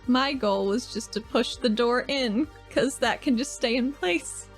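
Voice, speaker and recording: A woman's voice: on the high side, unhurried, faint music behind. A woman playing a character in a casual tabletop game, eager and swearing casually. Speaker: player.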